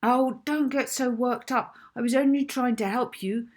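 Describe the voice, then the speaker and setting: A woman's voice: fairly high pitch, fairly even intonation, normal speed, pitched above the performer's own voice, lightly acted. A woman voicing an exasperated, bluntly honest schoolboy in a children's audiobook. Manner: exasperated, blunt, matter-of-fact. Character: fed-up schoolboy, normally easygoing, bluntly honest. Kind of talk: acted children's audiobook dialogue.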